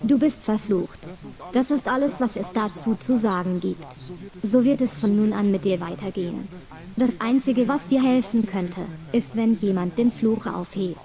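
A commanding woman with a mellow voice. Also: medium pitch. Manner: commanding, slow and insistent, speaking straight to the listener; hypnotic repetition. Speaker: voice of an audio recording for listeners. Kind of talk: scripted erotic hypnosis narration.

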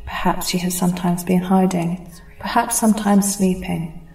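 A woman reading a scripted hypnosis session in a slow, soothing, hushed and intimate way. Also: on the low side, fairly even intonation, creaky, recorded from a distance. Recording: roomy echo, voice set back from mic, faint music bed.

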